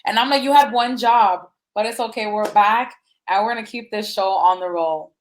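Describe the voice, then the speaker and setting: A woman's voice: medium pitch, normal speed, slight background noise, poor audio. A woman hosting a panel in a casual, chatty style, moderating between the guests.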